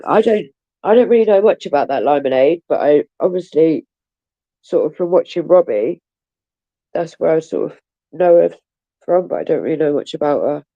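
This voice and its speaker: Woman, stuffy cold, gravelly voice, lively swooping pitch, casual gossipy livestream chat. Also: normal speed, no echo, dull audio. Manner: swearing, gossipy, laughs often. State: bunged up with a cold. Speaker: livestream host.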